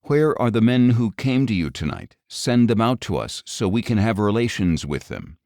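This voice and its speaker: A man's voice: lowish voice, very animated, moderate pace, a little mellow, dry recording, studio quality. A man voicing a hostile townsman of a mob, demanding and threatening, in an audio Bible story. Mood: menacing.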